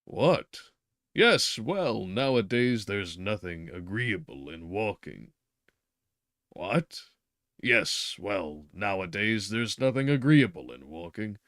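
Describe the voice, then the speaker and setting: A man's voice: on the low side, very animated, slow pace, a bit bright, no room sound, clean studio sound, heavily acted. A man voicing a stern middle-aged doctor who lectures insistently and stresses his words. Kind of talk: reading a novel aloud.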